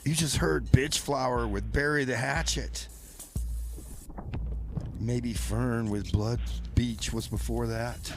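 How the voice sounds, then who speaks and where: A man's voice: mid-range voice, fairly even intonation, slow. A man hosting community radio, moving between music announcements and comedy sketch dialogue. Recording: clear noise behind the voice. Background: background noise.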